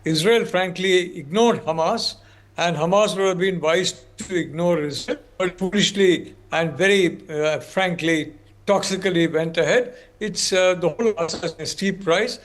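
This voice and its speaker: A man from India with an Indian accent, commenting on a news panel as a professor in a measured, courteous way. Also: sits high.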